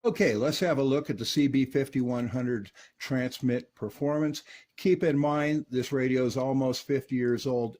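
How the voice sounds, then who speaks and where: A man casually reviewing and testing a vintage radio in his workshop, relaxed and peppered with filler words. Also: mid-range voice, slight background noise.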